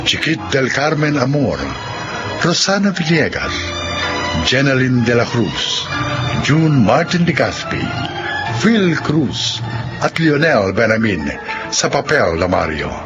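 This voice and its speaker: A man hosting a radio drama, announcing in a measured, theatrical and formal manner. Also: mid-range voice, very animated. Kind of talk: dramatic radio narration and credit reading. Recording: dull radio sound under loud music.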